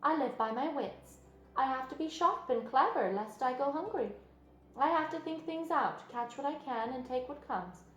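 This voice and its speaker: A woman's voice: high voice, expressive, normal speed, a bit bright, muffled recording, pitched above the performer's own voice. A woman voicing a clever, unapologetic female spider in a storybook, with a thin, pleasant voice and matter-of-fact self-assurance. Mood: self-assured, insistent. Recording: roomy sound with music underneath.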